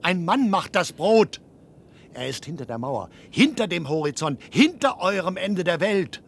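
A man's voice: high voice, sing-song, a little slow, creaky, heavily acted. An actor playing an earnest, desperate younger man in a radio play, urgent and imploring, pleading with his father. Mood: earnest, insistent. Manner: urgent, imploring, repeats phrases. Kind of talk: German radio play acting. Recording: noisy old radio play with crowd.

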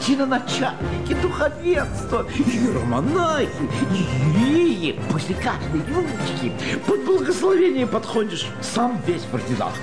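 Boastful old uncle, wildly swooping voice. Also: male voice, extremely high pitch, wildly swooping pitch, moderate pace, over loud music, exaggerated voice. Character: boastful older uncle, tipsy on rich food and vanity. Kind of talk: radio play acting.

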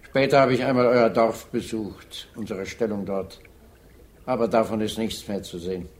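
Male actor as war veteran, low voice, grave, solemn, deliberate radio drama delivery. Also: close to an everyday voice. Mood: solemn, insistent. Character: grave war veteran addressing his fallen comrade.

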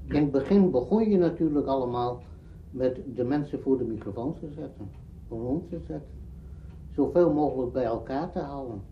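A muffled archive recording with faint noise holds an older man speaking. He looks back on his working life, explaining things in a measured manner. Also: fairly high pitch. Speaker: interviewee, veteran radio drama director. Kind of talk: spoken reminiscence.

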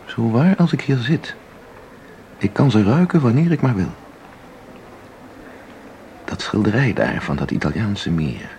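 A man's voice: lowish voice, very animated, a little slow, long pauses, a little mellow, slight background noise. An actor playing an intense, mysterious man in a radio drama, speaking with insistent, theatrical deliberation. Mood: dreamy, fervent. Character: intense, mysterious man who claims to enter paintings. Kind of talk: Dutch radio drama acting.

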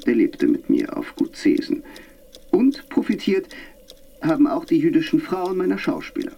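A man's voice: a little high, huge pitch swings, normal speed, gravelly, dark tone, husky, exaggerated voice. An actor narrating in a reflective, half-whispered manner, as a man looking back on the past. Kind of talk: radio play narration.

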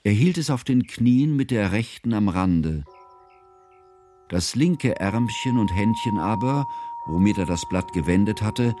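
An actor playing an educated, fondly observant narrator in a radio drama, with a measured, ceremonious manner. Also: male voice, lowish voice, animated, warm tone, soft background music. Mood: reflective. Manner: measured, ornate, formal.

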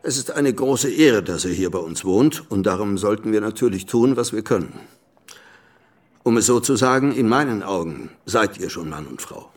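An actor playing an earnest middle-aged father in a radio drama, husky, halting and circumspect as he speaks. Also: on the low side, lively intonation. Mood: insinuating. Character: middle-aged father, earnest, awkwardly hinting, deferential patriot.

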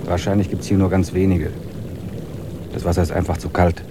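An actor playing a stubborn, determined expedition member in a radio drama, speaking earnestly. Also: male voice, deep voice, little pitch movement, a little fast, noisy background, natural voice.

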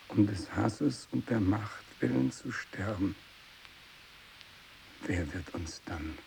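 An actor playing a fanatical, tormented renegade priest in a radio drama monologue, declaiming in anguish. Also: male voice, lowish voice, creaky, darkish tone, exaggerated voice, low-quality recording. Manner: declamatory, anguished, swinging between cries and whispers. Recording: faint tape hiss, 1960s radio production.

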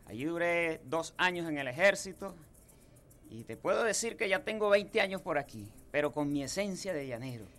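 A man's voice: on the high side, lively intonation, moderate pace, a bit bright. A man in his thirties from Apure, Venezuela, with a llanero accent, telling homespun stories as a guest in a radio studio booth. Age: 30s. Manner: folksy, homespun. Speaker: guest singer-songwriter. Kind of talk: relaxed storytelling interview.

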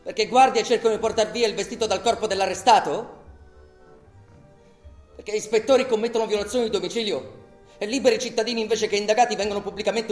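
A man's voice: high-pitched, darkish tone, natural voice. An actor playing an indignant accused man in a radio drama, declaiming rhetorically and sarcastically to a tribunal. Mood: accusing, agitated. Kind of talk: theatrical radio drama monologue. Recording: roomy echo, music behind.

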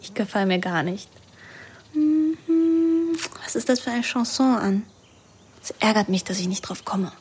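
A woman's voice: mid-range voice, measured pace, long pauses, breathy edge. An actress playing a restless, detached young woman who narrates and speaks in a radio drama in a composed, reflective way. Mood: discontented. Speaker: lead actress and first-person narrator.

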